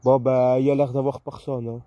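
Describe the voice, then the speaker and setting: A man with a very dark, mellow voice of medium pitch talks casually into a two-way radio. He is outdoors in a garden and speaks in a conversational, slightly hesitant way. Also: level tone, a little fast, no echo, lo-fi sound. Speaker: amateur radio operator filming a contact.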